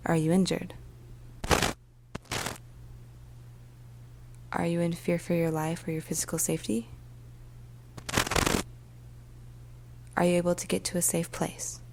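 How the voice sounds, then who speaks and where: Woman acting as weary female emergency dispatcher, soft half-whisper, gravelly fry, restrained intonation, ASMR story. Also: on the low side, little pitch movement, very noisy. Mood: calm, reassuring. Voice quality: soft, breathy, often whispered. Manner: slow, hushed, deliberate, with pauses. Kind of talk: ASMR storytelling, first-person dramatic monologue.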